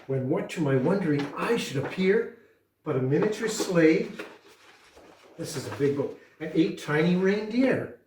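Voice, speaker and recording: An older man giving an expressive dramatic reading of a Christmas poem, like a grandfather telling a story to children. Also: a little high, measured pace. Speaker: amateur theatre member, storyteller. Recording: roomy, distant mic, faint noise.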